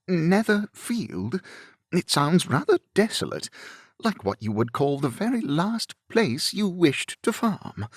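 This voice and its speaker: A man voicing an amiable, fussy young gentleman in an audiobook, chatty and given to dithering rambles. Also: on the high side, breathy edge, dry recording, studio quality, pitched above the performer's own voice, full character voice.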